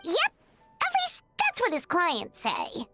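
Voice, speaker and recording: A woman voicing a small, childlike fairy companion in a video game, perky and curious with a squeaky voice. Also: huge pitch swings, slow, pushed and bright, soft background music, full character voice.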